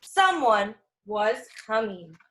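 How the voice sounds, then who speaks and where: A woman reads a picture book aloud to young children in a high-pitched voice. She takes her time, telling it expressively like a teacher at storytime. The room around her is noticeably echoey. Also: big pitch swings, takes it slowly, sharp tone.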